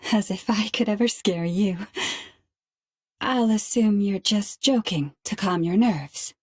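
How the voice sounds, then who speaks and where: A woman playing a gentle, protective young companion, speaking earnestly and carefully in a dramatic game cutscene. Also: medium pitch, lively intonation, slow pace, pauses often, husky, no room sound, studio quality. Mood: gently teasing.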